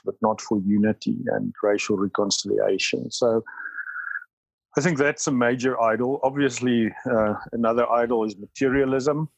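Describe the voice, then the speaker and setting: A man's voice: mid-range voice, gravelly, dry recording, low-quality recording. A South African man whose first language is Afrikaans, speaking as a church leader in an interview, thoughtful, talking in bursts with many fillers and restarts. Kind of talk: interview conversation.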